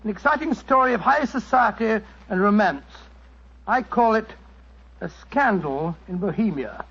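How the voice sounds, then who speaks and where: An actor playing a genial older English gentleman storyteller with an English accent, in 1940s radio drama style. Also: high voice, expressive, a little slow, husky, full character voice. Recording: dull vintage 1940s radio recording.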